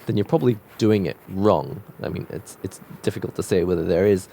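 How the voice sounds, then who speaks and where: Croaky, low-voiced man musing while drawing. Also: a little fast, mellow, slight background noise. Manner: musing, loose, with frequent restarts. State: croaky from a cold. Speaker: art vlogger drawing on camera. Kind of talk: casual reflective voice-over while drawing.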